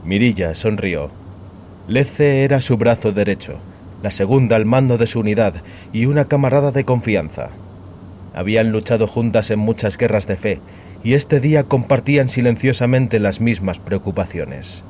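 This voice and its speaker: Male audiobook narrator: low voice, scripted storytelling over faint ambient noise. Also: lively intonation, moderate pace, a little mellow. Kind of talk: scripted audiobook narration of science fiction in Spanish.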